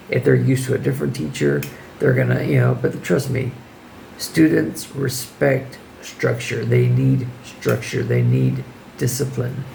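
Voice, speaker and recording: A man who teaches English gives casual, firm classroom advice in a hoarse voice that keeps giving out, often checking in with the listener. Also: medium pitch, darkish tone, a little hiss or hum, low-quality recording. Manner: informal, firm, checks in with "okay?". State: hoarse, losing his voice.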